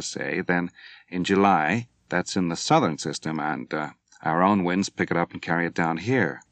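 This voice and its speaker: A calm naval commander, voiced by a man with a very deep, low voice. He speaks in a measured, plain-spoken way, close and dry in a storybook reading. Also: no echo.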